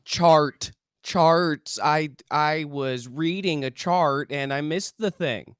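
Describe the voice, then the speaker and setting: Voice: mid-range voice, takes it slowly, clean tone, no room sound, clean studio sound, natural voice. A performer in a table read playing Hank, a defensive, paranoid male amateur UFO researcher who speaks emphatically and stammers. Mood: flustered, defensive. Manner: stammering, emphatic, self-serious. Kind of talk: table read of a comedy script.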